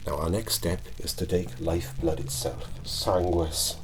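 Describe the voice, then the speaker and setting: A man with a Welsh accent playing a sinister, pompous Elizabethan alchemist in a hushed, deliberate ASMR roleplay. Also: sits low. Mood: solemn, commanding. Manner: archaic, pompous, deliberate phrasing. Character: wretched, sinister Elizabethan male alchemist, grandly mystical. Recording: light steady electrical background noise. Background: liquid sounds and clicks.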